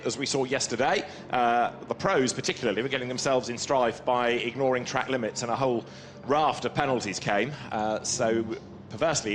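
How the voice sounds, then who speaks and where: A male race commentator, calm and explanatory, talks through the grid and the rules in a commentary booth. His voice sits mid-range. Music plays clearly behind him. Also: mid-range voice. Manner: measured, informative, explaining rules. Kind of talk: motorsport commentary.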